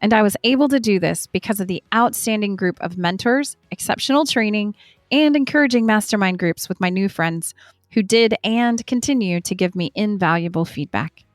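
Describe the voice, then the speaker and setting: A woman's voice: medium pitch, normal speed, no echo, clean studio sound. Upbeat woman podcast host. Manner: upbeat and encouraging. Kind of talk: scripted ad read and warm interview hosting.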